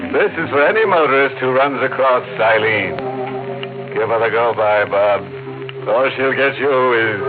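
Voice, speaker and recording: An actor playing a ghoulish, wisecracking horror host with sardonic, mock-friendly patter in 1950s radio style. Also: male voice, medium pitch. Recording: tinny old radio recording with music.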